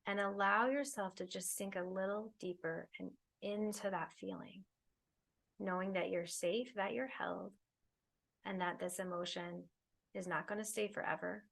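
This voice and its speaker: A woman leading a guided meditation, speaking soothingly in unhurried phrases with long pauses. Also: medium pitch, fairly even intonation, vocal fry, a bit bright. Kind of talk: guided meditation, spoken slowly to listeners.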